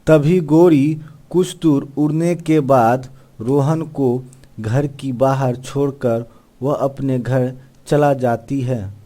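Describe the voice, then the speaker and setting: A man's voice: mid-range voice, steady tone, slow, warm tone. A man reading scripted narration for a children's cartoon story.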